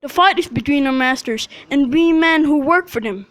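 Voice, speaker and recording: High-voiced, teasing servant, played by a woman. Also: moderate pace, fairly bright tone, dry recording. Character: Gregory, teasing, skeptical servant, ready to squabble. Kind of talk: amateur student radio drama acting.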